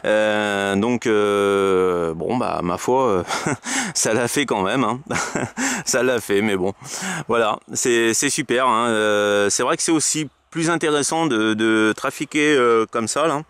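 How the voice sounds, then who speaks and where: A man's voice: lowish voice, expressive, slow, fairly bright tone, smooth, dry recording. A man chatting casually as a radio operator, in a hesitant, repetitive way. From France. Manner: hesitant, repetitive verbal tics. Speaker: amateur radio operator filming his video. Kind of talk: casual radio contact chat. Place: outdoors on open high ground.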